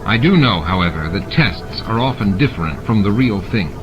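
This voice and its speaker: A man's voice: lowish voice, animated, normal speed, lo-fi sound, natural voice. A man voicing a grim, suspicious alien station constable, stern and dry, in a dramatized audiobook. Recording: music and sound effects behind the voice.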